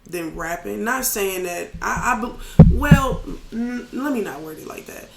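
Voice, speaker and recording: A man with a raspy, gravelly voice that sits fairly high for a man. He talks in a loose, candid way, as a podcast guest chatting about his craft. The sound carries an echoey room around it. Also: measured pace, heavy background noise. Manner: relaxed, candid, loose with filler words. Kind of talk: casual chat.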